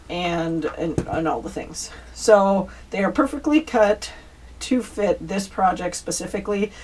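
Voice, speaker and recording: Casual woman crafter, recorded from a distance. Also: mid-range voice. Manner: chatty, informal, addresses viewers as you guys. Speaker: craft tutorial host. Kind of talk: casual step-by-step craft demonstration. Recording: distant mic, faint background noise.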